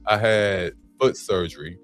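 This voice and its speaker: A man in his late thirties, a podcast guest, telling his story in a relaxed, easygoing way. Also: lowish voice, a little slow, no room sound, faint music behind. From South Side. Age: 30s. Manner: relaxed storytelling with acted-out quotes. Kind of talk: casual interview chat.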